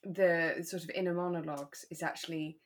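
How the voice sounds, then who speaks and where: A woman with a British accent, chatting casually and in a relaxed way as a podcast host. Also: lowish voice, brisk.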